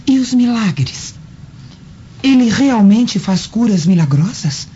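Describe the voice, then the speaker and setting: Mellow, curious Roman woman. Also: fairly high pitch, animated, slow pace, highs cut off, soft background music. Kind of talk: radio drama acting.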